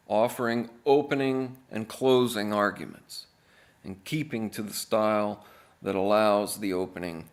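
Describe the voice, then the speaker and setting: A man reading aloud as an author, in a deadpan, measured manner with deliberate pauses. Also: on the low side. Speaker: author reading own book.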